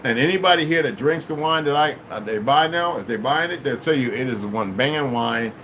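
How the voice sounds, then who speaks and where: A man's voice: medium pitch, fairly quick. A man hosting a livestream at home, chatting casually and rambling, thanking donors and often asking "you know what I mean". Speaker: livestream host. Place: room at home. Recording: steady air-conditioner hum behind.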